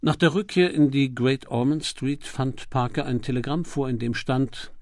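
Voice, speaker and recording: A man narrating an audiobook, reading scripted literary prose in a measured, formal way. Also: mid-range voice, a little mellow, dry recording, clean studio sound.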